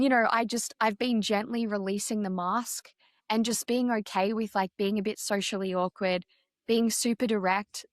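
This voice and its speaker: A woman of medium pitch speaks frankly in an interview and keeps going through fillers and restarts. The sound is dry and close, with no room in it. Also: no echo. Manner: direct, open, with frequent fillers and restarts. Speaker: guest. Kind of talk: candid personal interview.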